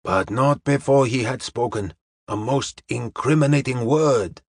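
Guy doing a dignified chinese detective for an audiobook, wise and gently teasing, stilted formal phrasing, dry close sound. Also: medium pitch, unhurried, husky, no echo. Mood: courteous, measured. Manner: formal, stilted English with dropped articles and proverbs.

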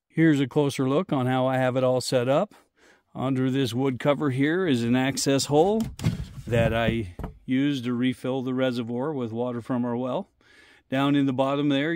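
A man's voice: mid-range voice, darkish tone, dry recording, studio quality. A middle-aged man talking casually and plainly to viewers as an outdoor vlogger, explaining things in an easygoing way. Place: outdoors in snowy woods.

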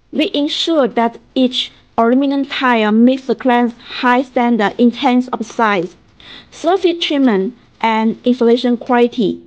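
A middle-aged woman with a Chinese accent reading a scripted promotional narration in a halting way, as a factory representative. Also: a little high, a little mellow, dull audio. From China. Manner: halting reading, stumbling over and repeating phrases. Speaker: factory representative presenting products.

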